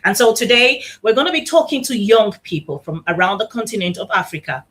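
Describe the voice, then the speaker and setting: Bright-voiced upbeat woman hosting a show. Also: mid-range voice, fairly quick. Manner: upbeat, enthusiastic, welcoming. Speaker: talk show host. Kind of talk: live online talk show hosting.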